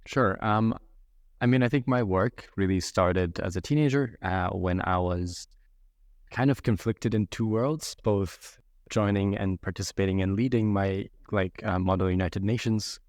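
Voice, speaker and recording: A young adult man from Yemen, a podcast guest giving measured, reflective answers with frequent fillers. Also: low-pitched, darkish tone, no echo, clean studio sound. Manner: measured, thoughtful, many fillers.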